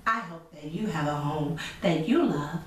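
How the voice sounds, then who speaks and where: A woman's voice: sits low, a little slow. Middle aged lady reading to kids, takes her time, really echoey and kinda far from the mic. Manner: expressive, addressing children directly. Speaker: storyteller and children's show host. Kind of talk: children's story reading with asides. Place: indoor room with chalkboard backdrop. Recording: echoey room from a distance, faint noise.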